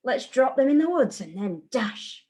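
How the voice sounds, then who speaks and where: A callous storybook stepmother, acted by a woman narrator who has had enough of the children. She sounds curt and exasperated, in a slow, higher female voice. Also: big pitch swings, dark tone. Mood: fed up.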